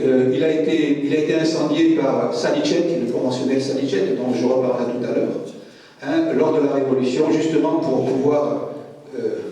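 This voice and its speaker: Lecturer, male, Corsican first language, mellow voice recorded from afar in a reverberant hall. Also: on the high side. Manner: conversational, frequent "hein?" asides. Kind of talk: public history lecture. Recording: echoey hall, mic far off.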